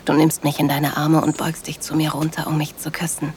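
A woman playing a loving, sensual middle-aged wife, narrating an intimate audio drama in a soft, dreamy manner. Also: low voice, completely flat delivery, fairly quick, a little hiss or hum, lightly acted. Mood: awed, tender.

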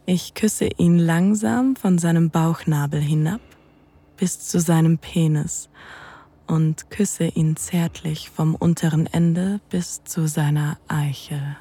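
A woman playing a seductive, dominant lover, narrating intimately in the first person with a breathy, teasing delivery that often nears a whisper. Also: low-pitched, fairly even intonation, mellow, heavily acted. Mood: sensual, slow. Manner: slow, sensual, teasing. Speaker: narrator and lead in an erotic audio drama.

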